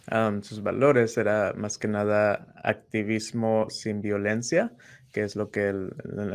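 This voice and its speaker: Low-voiced man co-host, relaxed. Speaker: co-host and translator. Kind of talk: casual online talk show.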